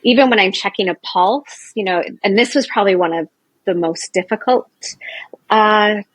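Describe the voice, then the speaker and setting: A woman in her forties, a nurse and Ayurveda practitioner, chatting as an interview guest in a loud, animated way, with lots of fillers and restarts. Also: mid-range voice, moderate pace, no room sound, poor audio. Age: 40s. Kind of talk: casual interview chat.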